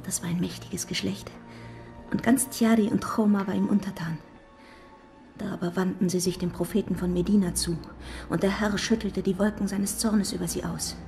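An actress playing a humble, devout young village girl, earnest and hushed, in a radio drama. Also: mid-range voice, fairly even intonation, moderate pace, vocal fry, faint music behind, natural voice. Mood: reverent.

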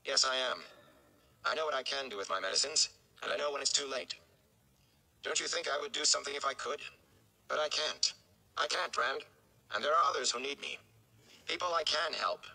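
A man's voice: medium pitch, expressive, brisk, gravelly, long pauses, bright, forceful tone, pitched above the performer's own voice, heavily acted, low-quality recording. A man voicing an exhausted, compassionate village wisewoman in a fantasy audiobook, firm and plain-spoken. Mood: firm, pained.

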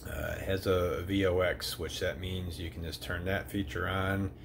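A man's voice: deep voice, level tone, normal speed, vocal fry, background noise. A middle-aged man giving a casual, rambling product review at home, with plenty of "uh"s. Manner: easygoing, rambling with many "uh"s. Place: indoors at home.

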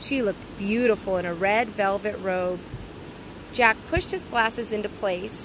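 A woman's voice: medium pitch, measured pace. A woman reading a children's story aloud expressively, like a teacher, recorded outdoors. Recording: clear outdoor ambient noise.

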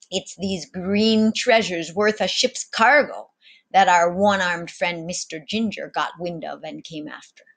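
A woman's voice: fairly low pitch, a bit bright, full character voice. A woman voicing a sly, commanding male sea captain in a theatrical storybook read-aloud, tagging lines with little questions.

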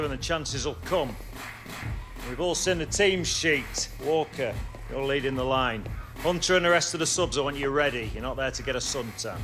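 A man's voice: a little high, a bit bright, lightly acted. A male actor playing a stern football manager giving a firm, rousing team talk in a video game.

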